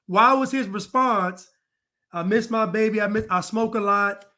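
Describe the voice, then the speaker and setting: A man's voice: high voice, moderate pace, sharp tone. A man talking to his viewers, breaking down a clip and asking pointed rhetorical questions again and again. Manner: emphatic, repeats rhetorical questions. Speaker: commentator. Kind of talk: true crime commentary.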